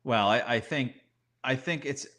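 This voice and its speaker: A man's voice: mid-range voice, unhurried, a bit bright. An emphatic man with a mid-range voice talks sports as a commentator. He is opinionated and conversational, building his points with rhetorical questions.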